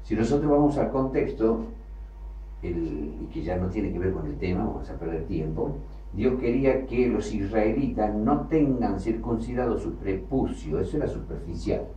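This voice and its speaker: A man preaching a sermon, emphatic, with loud bursts that drop low again. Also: lively intonation, normal speed, a little hiss or hum. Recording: echoey hall, from far off, faint ambience.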